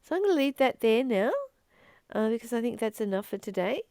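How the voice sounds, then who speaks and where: A woman speaking as a spiritual teacher, reflecting informally on a reading in an earnest, expressive way with emphatic repetition. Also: a little high, fairly quick, no echo, studio quality. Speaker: spiritual teacher and channel host.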